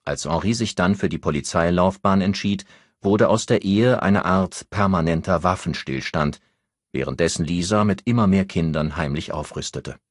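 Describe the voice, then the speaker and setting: A man's voice: low-pitched, moderate pace, no echo, clean studio sound. A man narrating a novel as a scripted audiobook.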